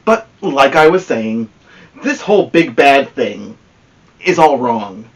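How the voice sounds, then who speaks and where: A man's voice: mid-range voice, sing-song, slow, poor audio. A man voicing a chatty, aggrieved storybook wolf who pleads his innocence in a folksy, confiding way. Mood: defensive. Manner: folksy, confiding, self-justifying.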